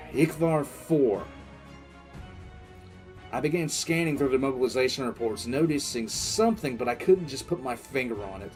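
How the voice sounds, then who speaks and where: A man playing a war-weary military commissar, recounting a crisis in halting, emphatic audio drama narration. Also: mid-range voice, expressive, darkish tone, lightly acted. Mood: tense, focused. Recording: music under the voice.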